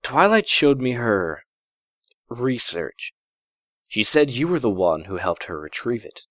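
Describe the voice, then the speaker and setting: Guy doing a gentle royal pony lady for a story, warm n measured, voice lifted up higher. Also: big pitch swings, no room sound, clean studio sound.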